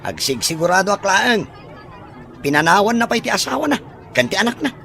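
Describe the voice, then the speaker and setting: A man's voice: high voice, very animated, a little fast, gravelly, bright, forceful tone, some chatter behind, a little hiss or hum, heavily acted. A man acting a blunt, argumentative, suspicious man who evades fatherhood in a radio drama. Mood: defiant.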